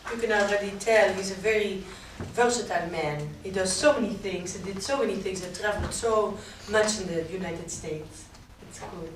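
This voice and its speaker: A woman's voice: mid-range voice, normal speed, noisy background, natural voice. A woman playing the cheerful wife of a professor, a woman from France, speaking in a chatty, playful way. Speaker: actor in a historical reenactment. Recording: distant mic, echoey room, ambience.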